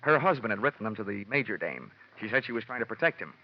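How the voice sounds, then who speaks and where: An actor playing a wry, hardboiled freelance insurance investigator in a vintage radio detective play, with a deadpan wisecracking delivery. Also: male voice, lowish voice, quick pace. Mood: wry, explaining. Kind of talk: 1940s-50s radio detective acting. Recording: dull, band-limited old radio recording.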